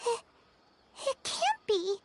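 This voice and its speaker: A woman voicing a small, perky, caring fairy companion with a squeaky childlike voice in a video game. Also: big pitch swings, slow, a little hiss or hum, exaggerated voice. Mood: worried. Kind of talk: English video game voice acting.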